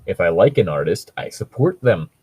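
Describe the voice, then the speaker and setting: A man's voice: low-pitched, big pitch swings, moderate pace, dark tone, breathy edge, no room sound. A man co-hosting a podcast in casual banter and mock story reading, with a wry, sweary manner and a fondness for puns. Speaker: podcast co-host.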